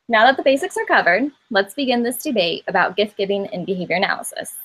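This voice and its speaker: A woman from Indiana, a student moderator reading a scripted introduction to a class debate in a steady, careful way with the odd slip. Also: medium pitch, rough recording. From Indiana, USA.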